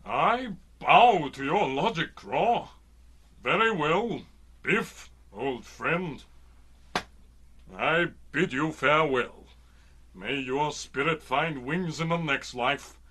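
Radio serial role: loyal bird-man warrior, raspy and forceful, theatrically solemn. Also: on the high side, animated, slow pace, exaggerated voice. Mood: solemn, doomful. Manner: theatrical, formal, mournful. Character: noble, overdramatic winged falcon man warrior, loyal and credulous. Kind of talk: radio drama acting.

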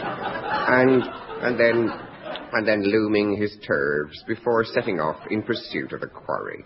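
A man's voice: very deep voice, background noise, full character voice. An actor playing a bawdy, jolly rustic male folk singer who chats to the audience and then sings, on a dull 1960s radio recording. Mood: jolly patter. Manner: chatty folk-club patter, then singing. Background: live studio audience laughter.

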